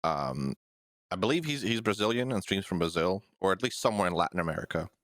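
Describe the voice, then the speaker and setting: A man with a deep, low voice chats casually as a podcast co-host. His talk is profane and full of jokes, and he laughs often. The sound is close and dry, with no room echo. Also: expressive, fast talker, a bit bright. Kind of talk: casual chat.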